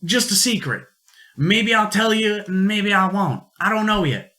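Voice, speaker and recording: A man's voice: high-pitched, expressive, a little slow, sharp tone, pitched above the performer's own voice, full character voice. A man voicing an eager, secretive young farm boy with a boastful, folksy manner in an amateur radio-play reading.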